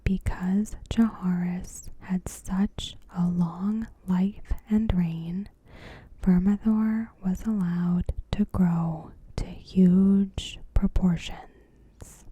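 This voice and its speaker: Female ASMR narrator: whispered, very breathy commentary, extremely unhurried, in short measured phrases. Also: fairly low pitch, fairly even intonation, warm tone, no room sound. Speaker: ASMR host. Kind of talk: ASMR, softly spoken TV episode commentary.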